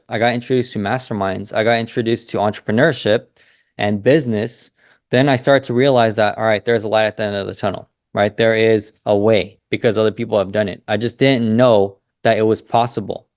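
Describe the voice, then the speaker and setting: A man's voice: on the low side, no room sound, clean studio sound. A young adult man talking casually as a business consultant host, loose and rambling with many fillers and restarts. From United States. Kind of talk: casual monologue.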